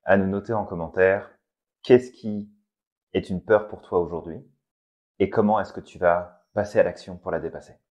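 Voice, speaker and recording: A man co-hosting a casual motivational podcast, speaking calmly and addressing the listener directly to push them into action. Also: deep voice, moderate pace, lo-fi sound. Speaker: podcast co-host.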